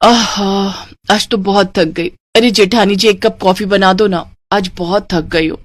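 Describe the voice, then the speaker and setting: A woman's voice: medium pitch, steady tone, unhurried, no echo, lightly acted. Woman voicing a haughty, vain young daughter-in-law. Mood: smug. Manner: sharp, condescending. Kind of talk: cartoon story voice acting.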